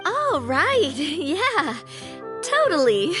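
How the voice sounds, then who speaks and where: A woman's voice: very high-pitched, big pitch swings, extremely slow, full character voice. A woman voicing a candid, playful young woman in a video game, talking in a casual, blurting way. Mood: awkward. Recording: faint music behind the voice.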